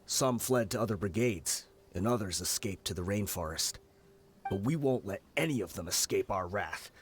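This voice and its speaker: A man's voice: on the low side, animated, moderate pace, a little hiss or hum, natural voice. A man playing a grave, guilt-ridden veteran mercenary leader, telling things solemnly in a video game. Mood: vengeful. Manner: solemn storytelling.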